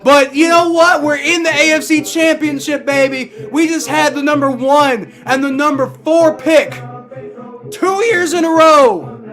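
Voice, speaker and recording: A young man hosting a live sports fan stream, shouting and cheering with a voice strained from yelling, swearing freely. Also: very high voice, unhurried. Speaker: sports fan livestream host. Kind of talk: excited live fan reaction.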